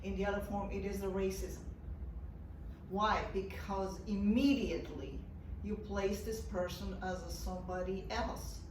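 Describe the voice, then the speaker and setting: A woman's voice: mid-range voice, steady tone, unhurried, darkish tone. A woman co-host who speaks emphatically, lecturing and repeating her key points in a panel discussion. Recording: echoey room from far off, faint noise.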